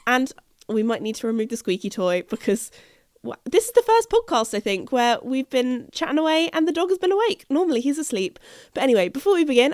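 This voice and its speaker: Croaky British woman hosting a podcast. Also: fairly high pitch, no room sound, clean studio sound. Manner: chatty, rambling, self-interrupting. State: croaky, husky voice from a chest infection. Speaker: podcast host. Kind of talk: casual podcast chat. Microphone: podcast microphone.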